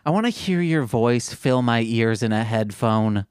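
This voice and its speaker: A man's voice: mid-range voice, expressive, unhurried, a little mellow, smooth, no room sound, clean studio sound. A man with a Midwestern accent, co-hosting a casual group chat and joking in a playful, over-the-top way. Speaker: podcast co-host.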